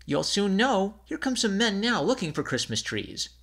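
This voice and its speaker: A man's voice: high-pitched, very animated, moderate pace, vocal fry, a bit bright, husky, voice pushed up, full character voice. A man voicing a kind little chickadee in a storybook, encouraging and cheerful.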